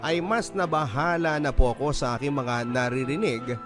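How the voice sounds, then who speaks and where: Male radio-drama narrator, calm and steady, mid-range voice, clear music bed behind. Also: medium pitch, moderate pace. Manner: calm, even storytelling. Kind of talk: scripted letter narration. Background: clear background music.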